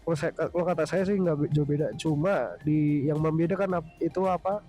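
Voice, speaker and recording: A man's voice: on the high side, brisk, mellow, faint music behind. A young Indonesian man with a stuffy, nasal voice from a cold, casually rambling through a villa review with many restarts and self-corrections. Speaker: vlogger reviewing a hotel villa.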